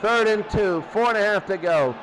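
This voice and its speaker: High-pitched man calling football, noisy recording. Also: moderate pace, crowd noise. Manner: excitable, conversational asides between plays. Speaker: play-by-play commentator of a simulated football tournament. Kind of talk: live American football radio-style commentary.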